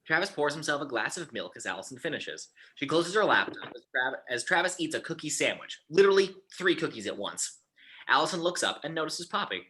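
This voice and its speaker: Bright-voiced man reading script directions. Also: a little high, big pitch swings, fairly quick. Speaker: narrator of stage directions. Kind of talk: reading screenplay action lines aloud at an informal table read.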